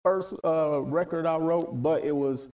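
A man's voice: on the high side, measured pace, darkish tone, distant mic. A man hosting a podcast interview in an upbeat, affirming way. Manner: upbeat, affirming, frequent "right" and "definitely". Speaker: podcast host.

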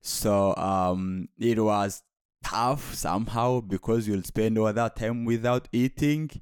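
Soft-spoken young man. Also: lowish voice, slow, smooth, no room sound, clean studio sound. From Rwanda. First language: Kinyarwanda. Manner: soft-spoken, hesitant with repeated restarts. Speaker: guest. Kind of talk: casual interview conversation.

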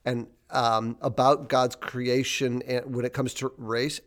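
A man speaking thoughtfully and hesitantly in a casual faith discussion, with many fillers. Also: medium pitch. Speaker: podcast co-host.

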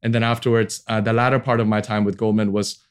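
A man in his forties from Hong Kong speaks as a guest in a casual interview. His voice sits fairly low, and he feels his way through sentences, often dropping in "you know" as he goes. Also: little pitch movement, fast talker, clear, resonant tone. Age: 40s. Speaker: podcast guest, venture capital founder. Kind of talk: casual interview talk about own career.

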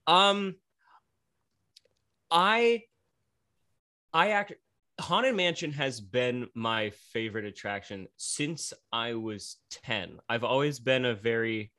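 Young man, Pennsylvania accent, bright voice, eager and chatty. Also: medium pitch, lively intonation, takes it slowly, pauses often. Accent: Northeastern Pennsylvania. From Pennsylvania, USA. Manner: eager, chatty, frequent fillers. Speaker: podcast guest. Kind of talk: casual interview chat.

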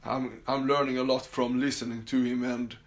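Swedish-accented male podcast host, halting and filler-heavy, average pitch. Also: little pitch movement. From Sweden. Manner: halting, many fillers and restarts. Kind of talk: casual solo podcast monologue. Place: summer house.

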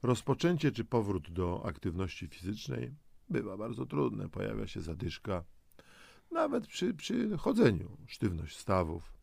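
A man's voice: fairly low pitch, sing-song, a little slow, vocal fry, darkish tone, husky, no echo. A man giving semi-scripted motivational narration, coaxing and instructing the listener with rhetorical questions. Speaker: host of a health and lifestyle audio programme.

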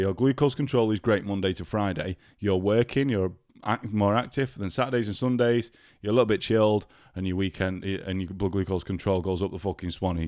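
A man's voice: on the low side, a little mellow, dry recording, clean studio sound. A man hosting a casual solo podcast, chatty and swearing freely while sounding ill and congested. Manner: chatty, swears freely, addresses listeners directly. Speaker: podcast host.

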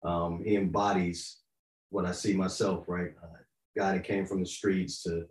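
A low-pitched man with a New York accent talks casually as a podcast guest. He tells things in an animated way full of street slang tags, and the room echoes around his voice. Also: unhurried. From Yonkers, New York, United States. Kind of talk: casual conversation.

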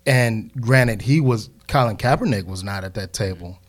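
Low-voiced thirties man reasoning calmly. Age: 30s. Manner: measured, builds reasoned points, hedges often. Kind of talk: casual group discussion.

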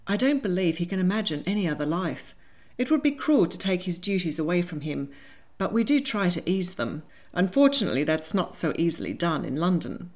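A woman's voice: fairly low pitch, sing-song, brisk, darkish tone. A woman voicing a spirited, polite young society lady in a storybook, proper and earnest. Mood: compassionate. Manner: proper, earnest, indignant when teased.

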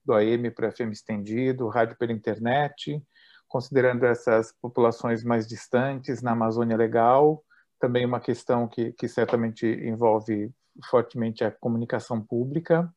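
A man's voice: mid-range voice, steady tone, normal speed, a little mellow. A Brazilian man moderating an online academic debate, polite and conversational with some hesitation, over a video call. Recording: dull, lo-fi video call audio.

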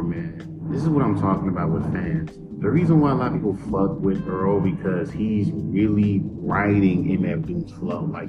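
A man with a low, deep monotone voice delivers a blunt, profane, rambling podcast rant. The recording sounds dull and lo-fi. Loud guitar music plays the whole way behind him. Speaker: podcast host and rapper. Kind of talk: casual solo monologue.

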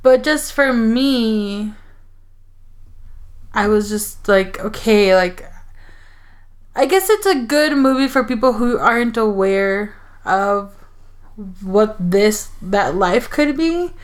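A woman's voice: mid-range voice, expressive, takes it slowly, pauses often. A young adult woman from the Mexico border area, chatting casually about a film with frequent likes and restarts. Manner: hesitant, many likes and restarts. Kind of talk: casual film review chat.

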